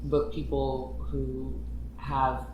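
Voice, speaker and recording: Guy chatting on a podcast, jokey and laughing a lot, super echoey room w/ clear background noise. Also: mid-range voice, very slow, muffled recording. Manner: animated, laughs often, jokey asides. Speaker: podcast writer and producer. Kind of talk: casual podcast chat.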